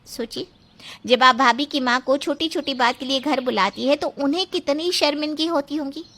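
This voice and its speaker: A woman's voice: high-pitched, moderate pace, fairly bright tone. A woman playing an earnest, reproachful woman in a dramatized family moral story, questioning as she speaks. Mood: earnest confrontation.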